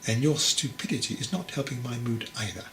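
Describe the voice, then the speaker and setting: A man voicing a stern, patient old monk who teaches in a deliberate, commanding, admonishing way. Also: mid-range voice, bright, forceful tone, lightly acted. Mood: stern, impatient. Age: older. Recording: roomy echo, clear background noise.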